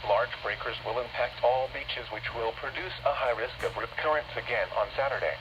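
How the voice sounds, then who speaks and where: A man's voice: medium pitch, normal speed, muffled recording. A man reading a formal, impersonal scripted weather bulletin in clipped segments, heard over a weather radio broadcast. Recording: noisy radio reception, static.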